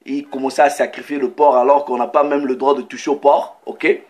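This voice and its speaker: A man's voice: mid-range voice, little pitch movement, darkish tone. A man preaching in French, reading scripture aloud and stopping often to check in with listeners and comment. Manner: reads scripture slowly, breaks in with "ok" and comments. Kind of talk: sermon with Bible reading.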